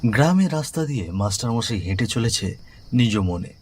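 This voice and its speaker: A man's voice: medium pitch, animated, moderate pace, darkish tone, slight background noise. A man giving scripted narration of a horror story. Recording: music behind the voice.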